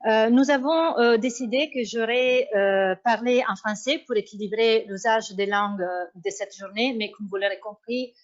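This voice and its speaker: Woman presenting research at a conference, formal and measured, stops a lot to find words, kinda high voice. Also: steady tone. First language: Italian. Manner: formal, measured, often pausing to search for words. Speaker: university researcher. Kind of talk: academic conference talk. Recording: dull lo-fi sound.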